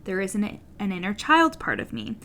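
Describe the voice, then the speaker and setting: A woman coach with a stutter talks straight to her listeners in a solo podcast episode, her manner earnest and direct, now and then swearing for emphasis. Her voice sits at a medium pitch for a woman. A faint low rumble hums under the recording. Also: expressive, moderate pace, gravelly, bright, forceful tone. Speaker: business coach, podcast host.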